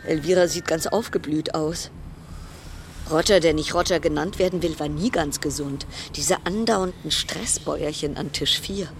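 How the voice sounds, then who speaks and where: A woman's voice: deep voice, moderate pace, slight background noise. A woman acting in a radio play as an anxious, sardonic quarantined passenger, delivering a brooding, dramatic interior monologue. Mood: wary, observing.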